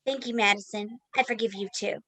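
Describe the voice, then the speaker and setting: A woman's voice: mid-range voice, fairly even intonation, a little fast, fairly bright tone, lightly acted. A woman playing a literal-minded schoolgirl robot in a play reading, speaking in a formal, stilted way. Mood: polite, flat.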